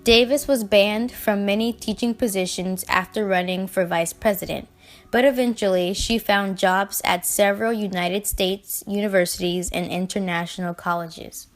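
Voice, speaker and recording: A woman reading a scripted documentary narration in a measured, read-aloud way. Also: mid-range voice, fairly even intonation, soft background music.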